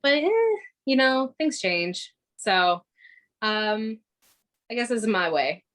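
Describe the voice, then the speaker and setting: A woman's voice: fairly high pitch, very animated, takes it slowly, fairly bright tone. Giggly woman in her thirties. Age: 30s. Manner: giggly, chatty, lots of fillers. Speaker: podcast co-host. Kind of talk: casual chat.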